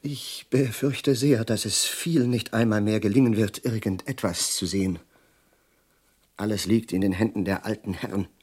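A middle-aged, sardonic professor foretelling catastrophe in a radio play. His voice is fairly low and husky, and he declaims theatrically, lingering on words and sinking into hushed menace. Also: steady tone, moderate pace. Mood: sardonic, foreboding. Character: bitter, doom-prophesying learned man, sardonic Russian professor. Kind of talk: theatrical German radio drama acting.

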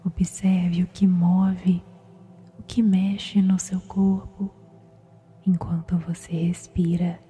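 A woman with a Brazilian accent softly whispers a guided sleep meditation in a slow, soothing, gentle manner. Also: lowish voice, flat delivery, a little hiss or hum. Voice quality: breathy, whispery. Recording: music behind the voice. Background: meditation music.

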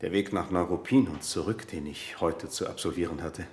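An actor playing a formal, obsequious servant with quiet menace, speaking in a stilted, overly polite way in a German radio play. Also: male voice, low voice, normal speed, close to an everyday voice. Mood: dutiful, formal. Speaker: household servant.

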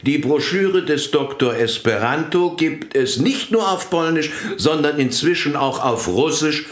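An actor in a radio play playing a matter-of-fact churchman with a measured, formal, deliberate manner. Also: on the high side, animated. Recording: echoing, reverberant room.

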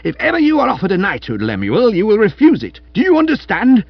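A man voicing a shrill, indignant, domineering middle-aged wife, screechy and scolding, in a comic audiobook. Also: very animated, moderate pace, gravelly, breathy edge, dry recording, highs cut off, heavily acted. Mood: scolding, furious. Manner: emphatic, scolding.

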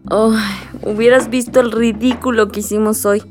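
An actress playing a spirited young Mexican village woman in a radio drama, expressive and colloquial. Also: on the high side, normal speed, over music, close to an everyday voice. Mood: chatty.

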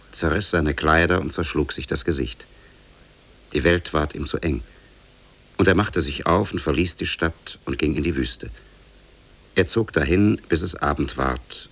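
A man narrating a tale in 1950s radio style, measured, literary and solemn. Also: a bass voice, gravelly, slightly rough. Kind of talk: scripted storybook narration, 1950s German radio.

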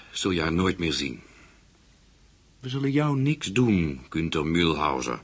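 An actor playing a menacing, calculating interrogator in a radio drama, measured and commanding. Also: male voice, deep voice, fairly bright tone, breathy edge, heavily acted. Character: menacing, calculating male interrogator, leader of Nazi conspirators.

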